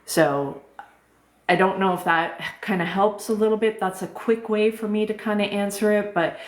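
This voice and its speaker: A middle-aged woman life coach with a soft voice, speaking gently and informally on a live stream, answering chat questions with many fillers. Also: mid-range voice, normal speed. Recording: roomy echo.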